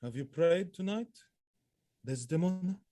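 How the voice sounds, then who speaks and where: An actor playing a jealous, murderous husband in a Shakespearean audio drama, solemn, declamatory and anguished. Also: male voice, sits high, animated, a little slow, mellow, dry recording, studio quality. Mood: grave, menacing. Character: jealous, murderous husband, grave and tormented military lord.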